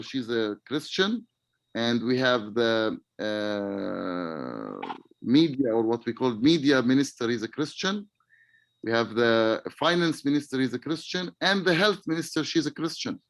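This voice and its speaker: A man from Palestine, answering interview questions on a video call in a halting way, searching for words. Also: medium pitch, no room sound. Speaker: webinar guest.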